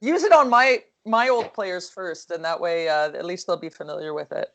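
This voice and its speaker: A woman with a low, calm voice talks about coaching over a video call. Her speech is relaxed and rambling, full of fillers and self-corrections, and her tone stays soothing. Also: moderate pace. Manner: chatty, lots of fillers and restarts. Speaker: hockey coach. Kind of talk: casual video call discussion.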